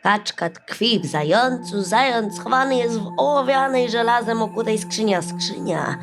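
A woman's voice: fairly high pitch, lively intonation, moderate pace, voice pushed up. A woman voicing a shaggy old witch, grave and knowing, in a children's fairy tale. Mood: grave, foreboding. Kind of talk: bedtime fairy-tale audio play. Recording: music under the voice.

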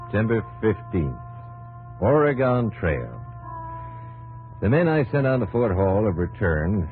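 Low-voiced, brooding army captain, old radio. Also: male voice, very animated. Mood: brooding, reflective. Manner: measured diary narration alternating with urgent dialogue. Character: male army captain and explorer, earnest, brooding, driven. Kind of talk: 1950s radio drama acting. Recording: muffled, narrow-band 1950s radio recording with music.

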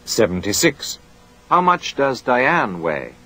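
A man reading a scripted language course drill in a clear, measured way. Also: medium pitch, sing-song, sharp tone, a little hiss or hum.

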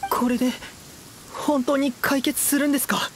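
A woman's voice: sits high, little pitch movement, normal speed, slight background noise, close to an everyday voice. A woman playing a timid, polite young commoner with a hesitant, earnest manner, in anime game style. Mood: anxious.

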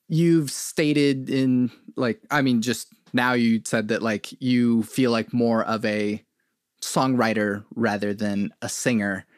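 A man's voice: mid-range voice, steady tone, takes it slowly, no echo, studio quality. A male podcast host asking searching questions hesitantly, with many fillers. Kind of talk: podcast interview.

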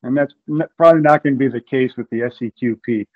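A man's voice: medium pitch, fast talker. American man on a phone-like call. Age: middle-aged. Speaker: interview guest, amateur radio event coordinator. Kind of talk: casual explanatory interview answers. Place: room at home, indoors. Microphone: video call with earbuds. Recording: narrow, phone-like video call audio.

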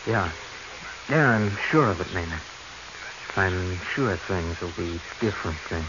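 Lively, lovesick low-voiced radio man. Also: a little slow. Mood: dismayed, urgent. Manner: earnest, hesitant, urgent. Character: lovesick, impatient, jealous male treasure hunter. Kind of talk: 1940s radio drama acting. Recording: noisy, muffled 1940s radio recording.

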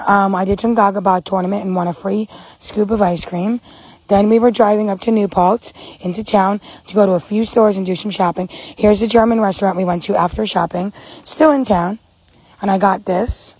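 A young woman doing a casual, plainly told vlog voice-over with a husky voice. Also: mid-range voice, darkish tone, dry recording, poor audio. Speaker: vlogger. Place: voice-over, partly recorded outside.

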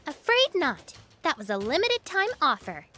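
A self-assured young woman mechanic from a video game. Her voice is high and bright with lively intonation. She speaks dryly and matter-of-factly. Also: normal speed, faint music behind, exaggerated voice. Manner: matter-of-fact, dry. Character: confident, dry-humored young woman mechanic. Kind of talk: video game cutscene acting.

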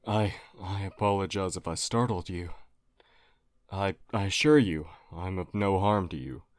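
Man playing a low, slow, polite alien. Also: no echo, clean studio sound. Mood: earnest, apologetic. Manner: halting, formal, apologetic. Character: polite, apologetic humanoid alien geologist, earnest and nerdy. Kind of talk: audio roleplay acting addressed to listener.

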